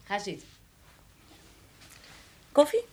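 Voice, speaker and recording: A woman's voice: slow, mellow, natural voice. An actress playing a wary, plain-spoken countrywoman in a Dutch radio drama, terse and direct. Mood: terse, hospitable. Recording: noisy radio drama recording.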